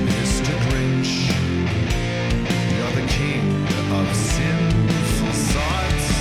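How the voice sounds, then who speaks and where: A man performing a comic holiday song with spoken asides, drawn out and dramatically mock-disgusted. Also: medium pitch. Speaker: singer and song narrator. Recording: music behind the voice. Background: plucked guitar music.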